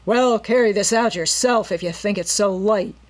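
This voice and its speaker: A woman's voice: mid-range voice, close to an everyday voice. A woman reading a storybook and voicing a brutal male robber gang leader who growls and sneers. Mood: menacing. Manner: growling, sneering, slow menacing.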